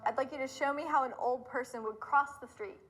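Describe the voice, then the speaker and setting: A woman's voice: sits high, fairly quick. A woman interviewer giving friendly, simple prompts and questions to people in a video. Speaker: off-camera interviewer. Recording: loud music under voice.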